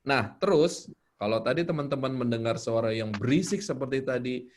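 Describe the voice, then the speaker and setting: A man speaks in a casual, informal way, like a storyteller sharing tips at an online workshop. His pitch sits in the middle for a man. The video call carries some background noise. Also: fairly quick. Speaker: guest storyteller giving tips.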